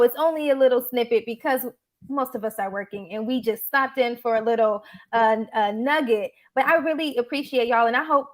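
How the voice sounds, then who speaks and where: Chatty woman hosting a livestream. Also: fairly high pitch. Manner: warm, appreciative, chatty with fillers. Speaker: host. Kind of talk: casual livestream chat.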